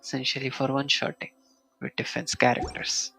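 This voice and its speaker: A man casually commentating a solo game live stream, muttering to himself and reading item text aloud in a halting way. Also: medium pitch, flat delivery, creaky, sharp tone, soft background music, low-quality recording.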